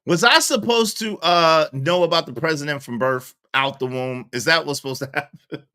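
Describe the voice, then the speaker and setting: A man's voice: fairly high pitch, moderate pace, pushed and bright, smooth. A man in his forties hosting a live stream, chatting casually in slang and reading viewer comments aloud. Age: 40s.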